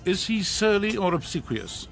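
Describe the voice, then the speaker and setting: An actor playing a weary, pedantic alien on a mission, grave and long-suffering, in a radio comedy. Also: male voice, sits high, sing-song, normal speed, vocal fry, fairly bright tone, heavily acted. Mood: earnest, brisk.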